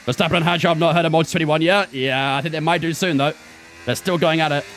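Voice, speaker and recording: A man's voice: a little high, steady tone, fast, fairly bright tone, a little hiss or hum. A man in his twenties streaming a racing game, giving chatty running commentary and talking to his chat. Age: 20s. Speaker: gaming streamer. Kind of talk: casual live-stream commentary while racing.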